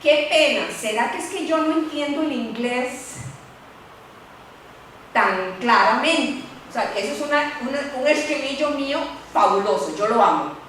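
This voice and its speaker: A woman lawyer speaks candidly at a panel, tagging her points with quick questions. Her voice sits a little high and rings through a very echoey lecture room. She is heard from a distance over faint background hiss. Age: middle-aged. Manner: animated, candid, many "¿verdad?" tags. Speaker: university lawyer. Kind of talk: panel talk.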